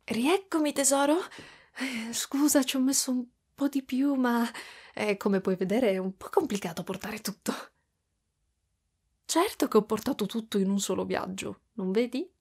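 A doting monster mother, half spider played by a woman, coos in a fairly high, breathy voice. She is clingy and tender, drifting toward whispers as in an intimate ASMR roleplay. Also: normal speed. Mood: caring, cheerful. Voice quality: soft, breathy with whispery stretches. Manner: cooing, affectionate, giggly, pet names.

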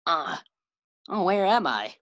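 Woman playing flustered, stammering husband, low animated voice. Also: slow pace, no echo, dull audio, heavily acted. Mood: dazed, flustered. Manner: stammering, befuddled. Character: George, flustered, anxious, doting husband. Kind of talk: 1940s radio sitcom acting.

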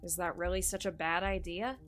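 A woman's voice: on the low side, steady tone, fairly quick, fairly bright tone, faint music behind, natural voice. A woman playing a bold, ambitious reporter in an audio drama, speaking directly and persuasively with confidence. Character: bold, shrewd, ambitious female entertainment reporter.

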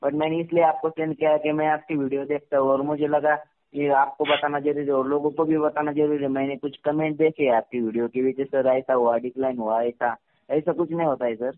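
A man of twenty chatting casually as a caller, halting and deferential, often repeating his words. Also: fairly high pitch, level tone. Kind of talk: casual phone chat. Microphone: telephone. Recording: tinny narrowband phone call recording.

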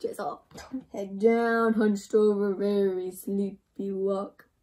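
A young woman reading a storybook aloud to children in an expressive, interactive way, often putting questions to the listener. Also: mid-range voice, takes it slowly. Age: young adult. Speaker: children's read-aloud host. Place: indoor room.

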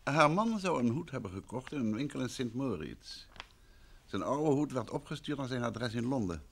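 Courteous detective with lively intonation. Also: male voice, medium pitch, vocal fry, a little hiss or hum. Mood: courteous, probing. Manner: polite, measured questioning. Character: amateur detective husband, courteous, composed, probing. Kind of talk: old Dutch radio drama acting.

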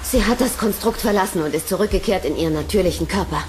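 A woman's voice: fairly low pitch, fast talker, music in the background, natural voice. An actress playing a composed, knowing woman with a matter-of-fact manner in a sci-fi audio drama.

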